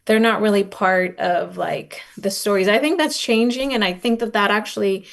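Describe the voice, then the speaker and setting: A woman's voice: mid-range voice, normal speed, creaky. A woman giving informal, reflective interview answers as a podcast guest, often saying "like" and retelling exchanges in dialogue. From raised in Los Angeles, born in Oaxaca. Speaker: podcast guest, nonprofit director and advocate.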